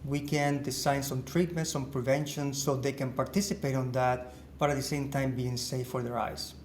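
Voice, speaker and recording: A man's voice: medium pitch, little pitch movement, moderate pace. Confident man explaining, with faint hiss. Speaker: podcast guest. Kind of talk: casual conversation. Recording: faint background noise.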